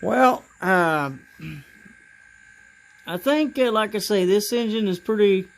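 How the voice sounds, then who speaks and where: Slow, raspy-voiced man with halting speech. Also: high voice, a little hiss or hum. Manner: halting, with many fillers and repeated words. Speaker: model railroad hobbyist. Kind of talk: casual hobby demonstration.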